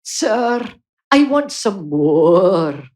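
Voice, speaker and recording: A woman's voice: medium pitch, sing-song, extremely slow, a little mellow. A woman playing a servile, bloodthirsty vampire assistant in a live radio play, with a fawning manner. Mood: greedy.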